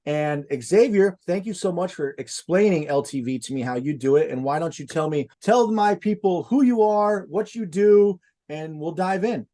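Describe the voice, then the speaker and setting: A man's voice: sits high, animated, moderate pace, mellow. A man hosting an interview, talking informally and emphatically to his viewers, often calling them guys. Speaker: host, junk removal business owner. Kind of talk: casual interview chat.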